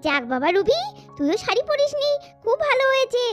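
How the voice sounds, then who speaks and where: A woman's voice: very high-pitched, very animated, a little fast, soft background music. A woman voicing a little schoolgirl doll in a children's cartoon, chatty and bickering in a childish, colloquial way. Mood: gossipy, catty. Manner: childish, colloquial scolding and teasing.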